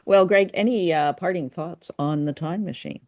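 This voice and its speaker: A woman's voice: lowish voice, normal speed, darkish tone, no echo, clean studio sound. A woman co-hosting a podcast, chatting casually and explaining facts with a lightly teasing touch. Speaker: podcast co-host. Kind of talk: casual informative chat.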